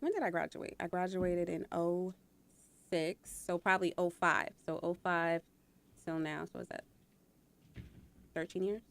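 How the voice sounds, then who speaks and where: Podcast guest: thirties woman, low gravelly voice, easygoing talker. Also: unhurried, pauses often, fairly bright tone. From New York, Long Island. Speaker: guest, teacher. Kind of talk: casual chat.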